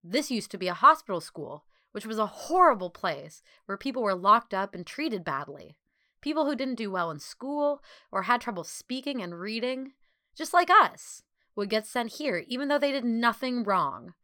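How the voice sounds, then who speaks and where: A woman's voice: fairly high pitch, big pitch swings, moderate pace, pushed and bright, no echo, clean studio sound, pitched above the performer's own voice. A woman voicing a thoughtful, hesitant schoolboy who explains earnestly in a storybook.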